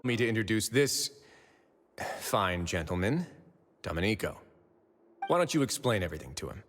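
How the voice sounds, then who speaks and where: Low, suave prison overseer. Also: male voice, very animated, normal speed, a bit bright, soft background music. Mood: wryly polite. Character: smooth, imposing male prison overseer, politely menacing. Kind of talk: video game voice acting. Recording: hall reverb with faint noise.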